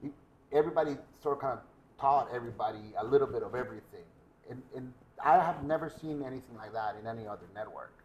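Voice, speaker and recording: A man's voice: mid-range voice, fast talker, echoey room, a little hiss or hum, poor audio. A man from Guatemala hosting a podcast, chatting casually and telling anecdotes. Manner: conversational, tells anecdotes. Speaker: podcast host. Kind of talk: casual interview chat.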